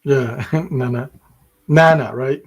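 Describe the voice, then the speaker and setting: A man's voice: mid-range voice, takes it slowly. A man from Ghana co-hosting a podcast, talking in an emphatic, motivational way with many restarts. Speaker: podcast co-host. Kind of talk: casual discussion.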